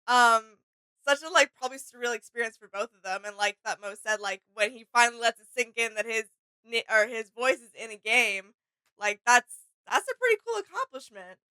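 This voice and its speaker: A woman's voice: a little high, animated, fairly quick, sharp tone, smooth, no echo, low-quality recording. A woman chatting casually as a reaction show co-host, agreeable and appreciative. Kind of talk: casual chat.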